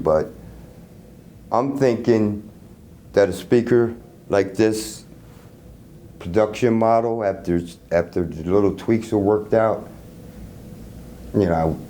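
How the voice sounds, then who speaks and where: A middle-aged man casually explaining his product in an interview, halting and repeating words as he goes. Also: deep voice, mellow. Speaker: loudspeaker creator being interviewed. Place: hotel room at an audio show. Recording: noisy room ambience.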